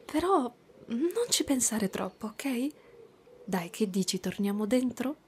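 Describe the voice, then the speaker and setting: Woman playing a doting monster mother, spider-woman, slow pace, highish voice, soft cooing, gentle ASMR roleplay. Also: very animated, slight background noise. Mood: tender, consoling. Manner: soft, cooing, tender, sometimes whispering.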